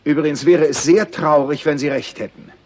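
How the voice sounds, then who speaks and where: An actor playing an irritable, commanding male state secretary in a 1960s radio play, husky and sarcastic. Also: on the high side, steady tone, moderate pace, a little mellow, natural voice. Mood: irritated, scornful.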